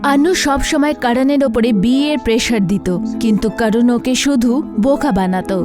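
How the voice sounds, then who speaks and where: Female horror-story narrator, high voice, music bed behind. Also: normal speed.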